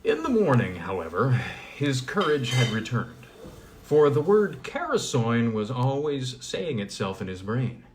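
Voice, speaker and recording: A man with a middle-pitched voice reads an old fairy tale aloud. His pitch moves a lot, rising and falling with the story. Between chapters he chats loosely and fills gaps with ums. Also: big pitch swings, noisy background. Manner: chatty with fillers in recap, measured when reading. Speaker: reader. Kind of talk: casual recap, then reading a fairy tale aloud.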